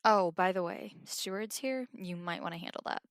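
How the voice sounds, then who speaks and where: Probing guard, slightly low voice. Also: female voice, moderate pace, fairly bright tone, no echo, clean studio sound. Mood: hurt, challenging. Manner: probing, pointed. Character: pointed, teasing young female guard seeking trust. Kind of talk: audio drama acting.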